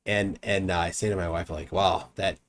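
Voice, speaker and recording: A man's voice: low voice, little pitch movement, normal speed. A man with a Minnesotan accent hosting a casual late-night call-in show, rambling and restarting his sentences. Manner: rambling, self-interrupting, chuckling.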